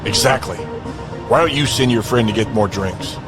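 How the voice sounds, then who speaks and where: A man's voice: fairly low pitch, moderate pace, lightly acted. A man playing a curt, domineering leader with a taste for blood in an audio drama. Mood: cocky, curt. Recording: loud music behind.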